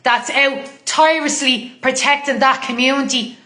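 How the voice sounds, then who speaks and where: A woman's voice: sits high, fairly even intonation, moderate pace, bright, forceful tone, echoey room. An Irish woman with a Dublin accent delivering a heated, combative monologue to camera, blunt and full of swearing. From Ireland.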